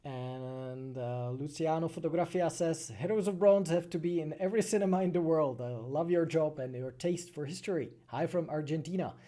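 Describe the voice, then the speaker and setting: Mellow-voiced Czech man, slightly high pitch. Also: normal speed, hardly any pauses. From Czech Republic. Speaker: animated film creator and streamer. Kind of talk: casual livestream Q&A, reading and answering chat questions.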